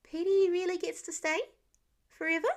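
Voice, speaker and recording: A woman voicing an excitable, eager young boy with chirpy, childlike rambling in a story reading. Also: very high voice, normal speed, voice pushed up. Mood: earnest. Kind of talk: storybook-style character reading.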